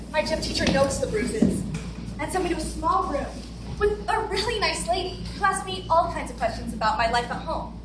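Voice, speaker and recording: A young woman recites a spoken-word monologue in a high voice. She is picked up from far off in a roomy hall, with clear background noise. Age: teenager or young adult. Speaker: readers theater performer. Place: large wooden hall with stage. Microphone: far camera, no visible mic. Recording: roomy, noisy, distant camera recording.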